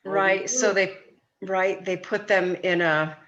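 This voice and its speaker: A woman's voice: mid-range voice, fairly even intonation, slow, a crowd in the background. A woman chatting casually with family on a video call, explaining things in a tentative, informal way. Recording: muffled video call audio.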